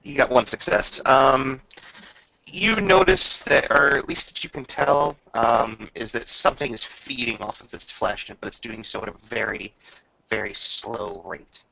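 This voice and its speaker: Gravelly man running a tabletop game. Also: medium pitch, big pitch swings, a little slow, bright, forceful tone, muffled recording. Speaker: game master. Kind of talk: improvised narration of a tabletop roleplaying game.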